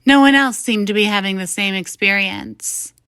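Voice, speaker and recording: A woman's voice: medium pitch, normal speed, bright, forceful tone, clear, resonant tone, dry recording. A woman reading a first-person short story as scripted narration. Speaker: story reader.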